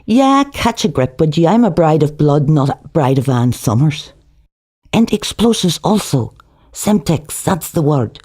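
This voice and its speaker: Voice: mid-range voice, very animated, a little slow, darkish tone, husky, no room sound, clean studio sound. A narrator voicing a defiant, sardonic young Northern Irish woman in an audiobook, brash in manner. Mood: indignant.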